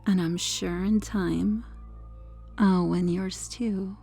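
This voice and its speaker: Woman doing a yandere superhero lady, soft asmr roleplay, creaky mellow voice, coy n smug, music behind. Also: medium pitch, fairly even intonation, takes it slowly, heavily acted. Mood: sweetly controlling. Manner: coy, smug, coaxing, lingering over words. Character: sweetly menacing, possessive yandere superheroine kidnapper.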